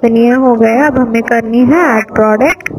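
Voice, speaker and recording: A woman's voice: fairly high pitch, a little slow, a little mellow, faint music behind, low-quality recording. A woman giving a step-by-step voice-over walkthrough of a phone app, speaking to viewers as friends. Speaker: app tutorial presenter. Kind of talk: screen-recorded app walkthrough. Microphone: voice-over over screen recording.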